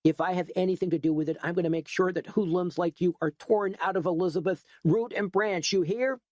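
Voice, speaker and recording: A man's voice: on the high side, expressive, normal speed, dry recording, clean studio sound, voice pushed up. A man voicing an angry middle-aged store owner who talks in a tight, agitated voice. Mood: furious. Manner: tight, agitated, rising to shouting.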